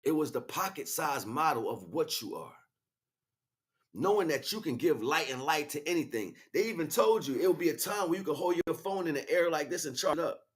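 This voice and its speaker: A man's voice: fairly high pitch, expressive, brisk. A man improvising a spiritual lecture as a teacher, speaking emphatically, repeating key words and checking in with rhetorical questions. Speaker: spiritual teacher and livestream host.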